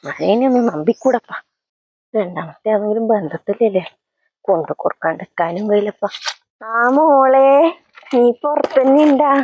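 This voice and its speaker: A woman's voice: medium pitch, darkish tone, dull audio, natural voice. Woman playing grudging, gossipy village aunt, Kannur accent. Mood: grumbling, then nosy, backhanded. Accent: Kannur, north Kerala. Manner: backhanded, complaining. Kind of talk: comedy sketch acting.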